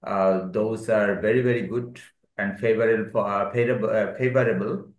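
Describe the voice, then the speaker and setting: A man's voice: on the low side, fairly even intonation, a little fast, lo-fi sound. A man teaching an online spoken English class, calling on students and praising their answers. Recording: roomy echo.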